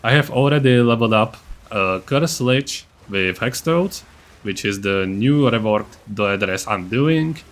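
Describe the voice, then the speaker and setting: A man's voice: on the low side, lively intonation, a little slow. A man giving a scripted, matter-of-fact game guide narration, with a blunt, self-deprecating streak. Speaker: gaming video creator.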